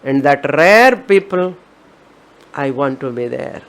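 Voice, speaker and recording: A woman's voice: low voice, expressive, slow. A woman with an Indian accent, a spiritual teacher giving an emphatic, repetitive discourse on scripture.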